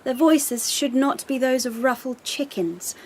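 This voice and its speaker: An actress playing a haughty, icy young empress in a radio drama, imperious, formal and measured in her disdain. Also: high voice, breathy edge. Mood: cold reproof.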